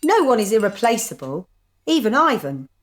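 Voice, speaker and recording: A woman plays a sensible, wry club member in a radio drama. Her voice is on the high side and swings freely, with a brisk manner. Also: sing-song. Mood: wry, composed.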